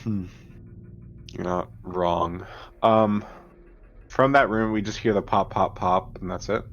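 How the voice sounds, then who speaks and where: A man's voice: lowish voice, fairly even intonation, slow pace. A man playing a tabletop game, talking casually and tentatively about what to do. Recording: dull audio with faint background noise.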